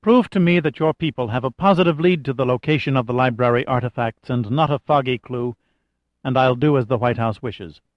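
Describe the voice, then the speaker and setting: A man's voice: medium pitch, fairly quick, no echo, highs cut off, lightly acted. A man voicing a proud, principled Egyptian woman official in an audiobook novel, blunt, formal and emphatic. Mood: firm, blunt.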